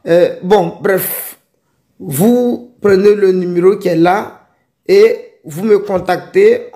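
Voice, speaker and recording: An echoey recording of a high-voiced man. He speaks as a motivational business coach, exhorting his listeners. Key phrases come back again and again for emphasis. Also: darkish tone. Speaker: livestock-farming entrepreneur and coach. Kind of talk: motivational advice talk.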